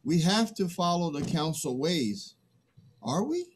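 Guy playing an earnest villager defending old ways, husky high voice that swings around, play reading. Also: animated, measured pace. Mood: earnest, troubled. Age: middle-aged. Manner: hesitant, earnest. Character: conscientious man pleading for the old ways. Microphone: computer mic on video call.

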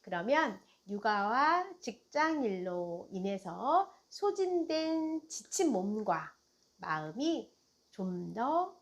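Middle-aged female host, daycare director giving parents soft advice; a bit high, slow and melodic. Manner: slow, gentle, sing-song delivery. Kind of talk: warm advice talk to parents.

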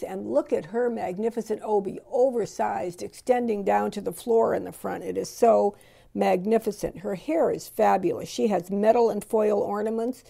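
A middle-aged woman hosting an auction and giving unhurried, admiring voice-over commentary, with frequent ums and restarts. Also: mid-range voice, vocal fry, warm tone. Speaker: auction host presenting antique dolls.

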